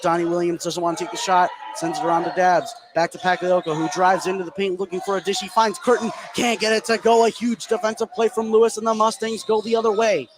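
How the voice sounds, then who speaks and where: A man with a high voice calls live basketball on the radio. He follows each pass in the present tense and rises on big plays, with clear game noise behind him. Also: flat delivery, fast talker, few pauses. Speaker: play-by-play basketball commentator. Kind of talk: live radio sports commentary. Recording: clear background noise, live gym ambience. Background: ambient noise of a basketball game.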